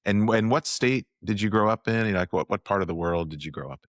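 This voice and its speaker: Very deep-voiced male podcast host. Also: fast, no echo, clean studio sound. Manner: friendly, loose, many fillers and affirmations. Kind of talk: casual interview hosting.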